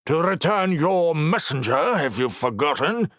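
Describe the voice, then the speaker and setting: A man voicing a loud, glowering character who speaks in a booming, confrontational way. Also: expressive, a little slow, dry recording, clean studio sound.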